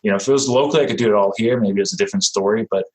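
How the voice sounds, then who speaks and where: A man in his forties from Denmark with a Danish accent, speaking as a podcast guest in a relaxed, halting way with many fillers and restarts. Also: lowish voice, slightly rough, low-quality recording. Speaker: guest, real estate investor. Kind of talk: casual interview talk.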